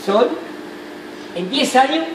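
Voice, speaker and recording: A man's voice: very high-pitched, animated, slow pace, distant mic. An older man, the venue owner, chatting and joking with a host at a party. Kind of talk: casual chat. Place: large restaurant dance hall, indoors. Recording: echoey hall, background noise.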